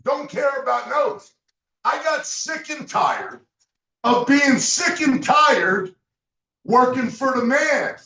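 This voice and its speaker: A man giving a loud, emphatic motivational sales speech to a roomful of guests, sometimes shouting to drive a point home. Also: very high-pitched, animated, slow pace, creaky. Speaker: business leader speaking at a recruiting event. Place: meeting room with an audience. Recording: echoey room, mic from far off.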